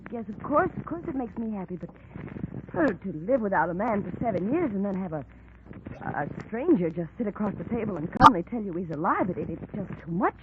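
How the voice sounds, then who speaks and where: A pampered, flirtatious society wife acted by a woman in a 1940s radio melodrama. Her delivery is gushing and dramatic, swinging widely in pitch, on a thin, noisy vintage broadcast. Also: sing-song, a little fast, phone-quality sound, close to an everyday voice. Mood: overwrought, distressed.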